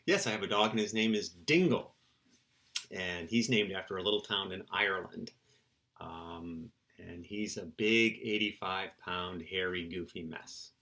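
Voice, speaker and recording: A man's voice: deep voice, unhurried, pushed and bright. A middle-aged man, a children's book author, giving casual, playful interview answers in simple terms for young listeners.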